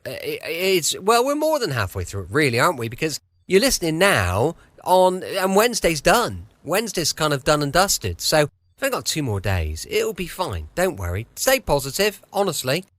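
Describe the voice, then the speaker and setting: A man's voice: on the high side, huge pitch swings, moderate pace, fairly bright tone, no echo. A British man hosting a podcast with a chatty, reassuring, rambling introduction. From southwest of the United Kingdom. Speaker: podcast host. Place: at home, dry close recording.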